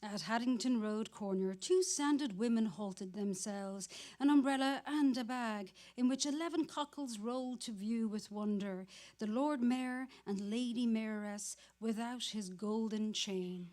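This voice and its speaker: A woman's voice: a little high, measured pace, darkish tone. A woman reading literary prose aloud as an actor in a dramatic staged reading.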